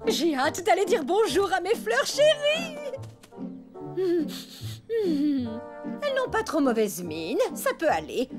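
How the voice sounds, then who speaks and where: A vain neighbour lady elephant from a cartoon. The voice is very high and swoops theatrically. She gushes grandly, with music playing behind her. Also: wildly swooping pitch, slow, pauses often, exaggerated voice. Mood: gushing, delighted. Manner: theatrical, gushing. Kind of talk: French cartoon dubbing.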